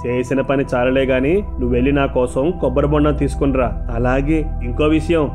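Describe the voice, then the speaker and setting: A man voicing a spoiled, mocking young shop owner's son in an animated moral story, with music behind him. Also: mid-range voice, brisk, natural voice. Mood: mocking, bossy. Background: background music.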